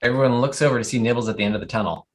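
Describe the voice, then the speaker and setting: A man reading scripted stage directions at an animated comedy table read, telling the action with amused storytelling flair. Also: medium pitch, racing.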